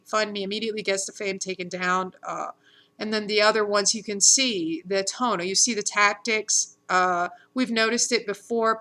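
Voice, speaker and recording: A woman talking in an unscripted monologue, rambling and halting with many fillers and restarts, earnest and insistent. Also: medium pitch, bright, forceful tone. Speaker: vlogger.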